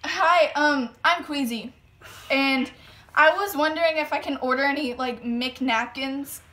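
High, bright-voiced young woman on prank calls. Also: unhurried, slight background noise. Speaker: prank caller. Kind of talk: casual prank phone calls with friends. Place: small furnished bedroom indoors.

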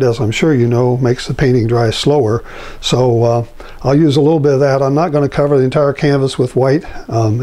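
An older man with a raspy, mellow voice walks through a painting step by step. He speaks in an unhurried, halting way, often pausing on fillers. He is recorded in an indoor studio, talking while he works. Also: mid-range voice. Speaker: painting instructor.

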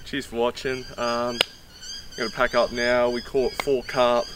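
A man's voice: mid-range voice, little pitch movement, a little slow. Laid-back young Australian man, outdoor noise. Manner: laid-back, swears casually, lots of ums. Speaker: fishing vlogger. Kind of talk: casual vlog chat. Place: in a car, then outdoors on a riverbank. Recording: outdoor and road noise behind.

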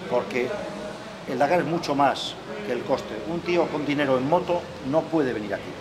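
A middle-aged man interviews a guest in an outdoor courtyard, filmed from a distance. His voice is mid-pitched and conversational, and he keeps checking in with tag questions. Steady outdoor noise is clearly audible behind him. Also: normal speed. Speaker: interviewer, club host. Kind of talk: casual interview. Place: outdoor courtyard with artificial grass.

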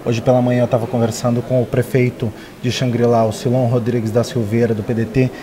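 A man's voice: medium pitch, level tone, quick pace, crowd noise. A man in his thirties, a newspaper editor, explaining a story in a live interview with frequent hesitations. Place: open-plan newsroom.

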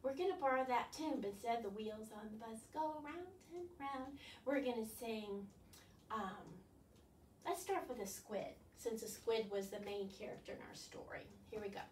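A woman's voice: on the high side, moderate pace. A woman in her sixties leading a storytime for young children as a librarian, with exaggerated wonder and coaxing questions. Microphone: distant camera microphone. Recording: roomy, distant camera mic, faint noise.

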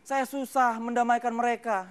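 A man's voice: very high voice, little pitch movement, moderate pace, clear, resonant tone. A man in his thirties hosting a stand-up comedy show, teasing the audience in a live TV studio. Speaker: stand-up comedy host playing a neighborhood chief. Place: large TV studio stage with audience. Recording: TV studio with loud crowd laughter and noise.

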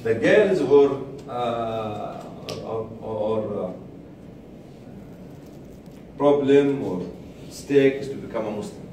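A man's voice: mid-range voice, expressive. Older man in a very echoey room. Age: 60s. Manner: deliberate, with repetition and pauses for emphasis. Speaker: speaker telling a story to a seminar group. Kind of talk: spoken storytelling to an audience. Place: medium-sized indoor seminar room. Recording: distant, very echoey room recording with faint noise.

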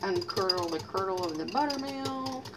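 A woman in her thirties, chatting casually as she demonstrates a recipe in her home kitchen, teasing herself and letting out lively exclamations. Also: mid-range voice, normal speed. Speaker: home cooking channel host. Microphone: fixed camera mic at a distance. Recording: distant camera mic with faint room noise.

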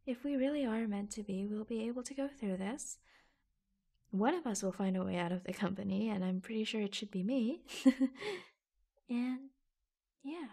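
A woman playing an affectionate, proud and reassuring secret office lover in an ASMR roleplay, speaking softly, breathily and hesitantly, often close to a whisper. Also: on the high side, moderate pace. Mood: wistful, affectionate. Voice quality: soft, breathy. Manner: hushed, hesitant, confiding.

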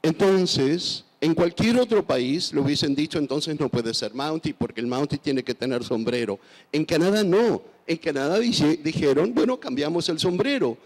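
Older guy from argentina, creaky voice, lots of uh and restarts, big echoey hall. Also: a little high, animated, normal speed, darkish tone. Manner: thoughtful, hesitant with many fillers and restarts. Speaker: author guest. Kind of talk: onstage interview answers. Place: large festival stage. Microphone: handheld microphone. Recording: long hall reverb.

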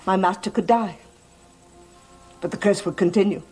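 An older actress playing a stern servant, fiercely loyal to her master, in a gothic soap opera, speaking gravely and emphatically. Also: medium pitch, normal speed, darkish tone, lightly acted. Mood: ominous. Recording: vintage TV soundtrack with music underneath.

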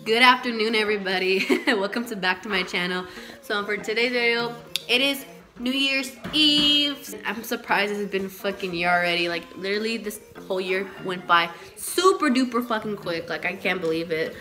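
A woman's voice: mid-range voice, moderate pace, pushed and bright. A young woman with a head cold, sounding nasal, chatting casually and swearing freely into a phone held at arm's length at home. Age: young adult. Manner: casual and swearing, mixes in Spanish. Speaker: vlogger. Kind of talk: casual vlog chat. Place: home living room, then a car at night.

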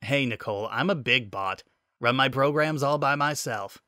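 A man's voice: mid-range voice, animated, a little slow, bright, forceful tone, no room sound, studio quality. A man voicing a cocky, joking young male robot in a story reading, brash and easily indignant, with a grumbling, playful manner.

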